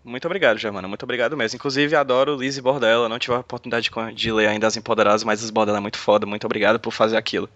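A man's voice: on the low side, fairly even intonation, rapid-fire, few pauses, fairly bright tone, no echo, dull audio. A man hosting a casual podcast chat, talking in a chatty way with frequent restarts. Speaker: podcast host.

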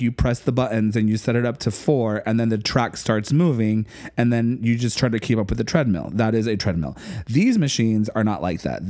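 A man's voice: lowish voice, dark tone. A man co-hosting a casual podcast chat, joking and rambling with lots of fillers. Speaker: podcast co-host.

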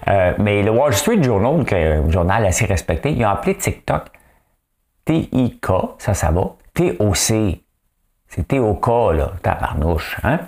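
Host, male, Quebec French accent, low voice with a lively, swinging delivery, solo chatty commentary. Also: measured pace. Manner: chatty, asks "hein?" often, joking asides. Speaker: solo online show host. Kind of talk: casual solo commentary show.

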